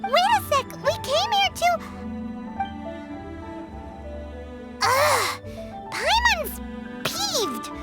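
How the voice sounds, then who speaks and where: Squeaky, very high-pitched little fairy companion. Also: female voice, sing-song, takes it slowly, over music, heavily acted. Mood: peeved. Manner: speaks of self in third person, exclamatory. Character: tiny childlike female fairy companion, chatty, excitable, cheeky. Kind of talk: cartoonish video game voice acting.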